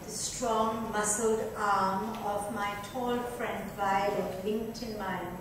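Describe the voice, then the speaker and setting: A woman's voice: medium pitch, steady tone, slow pace, close to an everyday voice. Woman acting a self-absorbed, needy friend, echoey room. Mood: wistful. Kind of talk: dramatic book reading. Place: club lounge on stage-like set, indoors. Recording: echoey room, distant mic, noisy.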